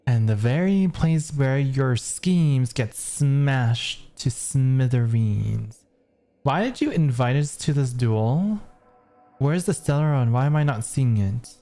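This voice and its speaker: A game streamer reacting live in an expressive, exclamatory way. The voice is mellow and slow-paced with wide swings in pitch, over game audio. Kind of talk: live game reaction commentary, reading lines back. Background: game music and dialogue.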